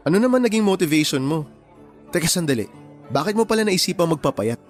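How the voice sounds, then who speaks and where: An actor playing a kind, principled young man in a Tagalog radio romance, speaking gently and earnestly. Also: sits high, lively intonation, quick pace, soft background music. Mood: pleasantly surprised.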